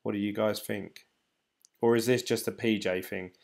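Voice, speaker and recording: A British man in his thirties hosts a late-night live stream, talking loosely with plenty of ums and half-started sentences. His voice sits fairly low and sounds tired and slightly croaky and dry. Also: fairly even intonation, moderate pace, a little mellow. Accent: British English. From United Kingdom. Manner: rambling, hesitant, many ums and restarts. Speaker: crypto channel host reading live chat.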